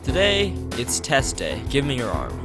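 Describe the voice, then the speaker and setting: A man voicing a friendly, brisk male school nurse in a visual novel, chatty and reassuring. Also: medium pitch, huge pitch swings. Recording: guitar music behind the voice.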